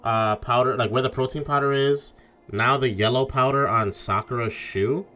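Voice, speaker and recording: A man's voice: mid-range voice, moderate pace, sharp tone. A man talking casually and informally as a game streamer, swearing and thinking aloud through his theories. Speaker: streamer playing a mystery game.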